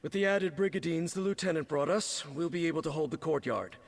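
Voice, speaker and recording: An actor playing a grave, commanding military captain in a fantasy video game, with a gravelly voice. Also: male voice, fairly high pitch, fairly quick. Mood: grave, businesslike.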